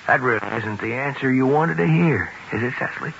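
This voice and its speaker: Radio drama: moralizing, taunting man, swooping delivery with gravelly edge, pressing relentlessly. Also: mid-range voice, expressive, fairly quick, fairly bright tone. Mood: accusing, sardonic. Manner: taunting, probing with pointed questions. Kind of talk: 1970s radio drama acting. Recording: hissy muffled 1970s radio recording.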